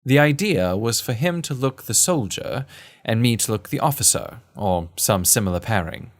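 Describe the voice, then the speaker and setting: A man playing a genteel adventurer who narrates his own period tale in formal, measured, literary phrasing. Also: mid-range voice, lively intonation, natural voice. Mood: measured, grave. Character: male first-person narrator, genteel adventurer recounting a kidnapping case. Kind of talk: scripted period-story narration.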